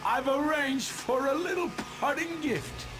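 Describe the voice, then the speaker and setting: An actor playing a sadistic, gloating supervillain in a video game, with a gravelly voice and a theatrical, taunting delivery. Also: male voice, very high-pitched, loud music behind the voice. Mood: menacing gloating. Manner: theatrical, taunting, drawn-out menace. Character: sadistic, gloating armored male supervillain on a glider. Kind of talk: video game villain acting.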